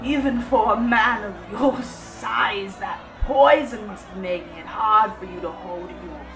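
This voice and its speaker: A woman's voice: medium pitch, measured pace, close to an everyday voice. A woman playing a fierce, defiant female elf fighter in an audio drama, with an intense, strained delivery. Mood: taunting menace. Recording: echoey, loud music and crowd behind.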